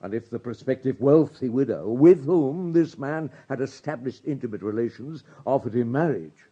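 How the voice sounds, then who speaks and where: An actor playing a shrewd, courteous police superintendent in a radio drama, polite, measured and insistent. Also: male voice, medium pitch, animated, mellow, husky, dull audio. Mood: coolly accusing.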